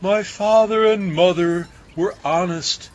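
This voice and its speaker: A man's voice: sits high, takes it slowly, voice pushed up. A man voicing a tearful, timid baker in a storybook poem, pleading in a raised, quavering voice. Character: tearful timid male baker, anxious and rambling.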